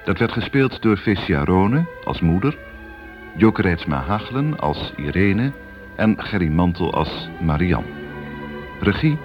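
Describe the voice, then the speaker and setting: A man's voice: low voice, very animated, a little slow. A man with a Netherlands Dutch accent reading scripted radio drama credits as the announcer. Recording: dull radio recording with music. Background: music.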